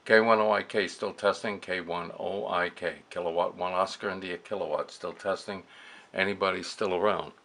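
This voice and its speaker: A man giving a casual hands-on demonstration as a radio operator, halting and muttering to himself as he works. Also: low voice, fairly even intonation, fairly bright tone. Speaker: amateur radio operator demonstrating vintage gear. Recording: clearly noisy room recording.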